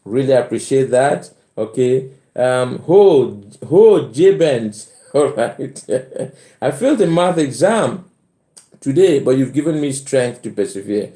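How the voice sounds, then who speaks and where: A man's voice: mid-range voice, lively intonation, slow pace, warm tone. A middle-aged man with a Cameroonian accent, casually hosting a live chat and repeating phrases for emphasis. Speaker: live stream host. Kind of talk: casual live chat, reading out and answering comments. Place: bedroom at home. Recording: roomy indoor echo.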